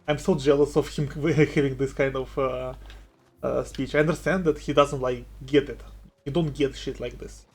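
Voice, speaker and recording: A man's voice: mid-range voice, steady tone, normal speed, darkish tone, husky. A man with a non-native English accent and a light voice, chatting casually and rambling while live-streaming a game. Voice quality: light, somewhat boyish voice. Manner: chatty and rambling, many fillers and restarts.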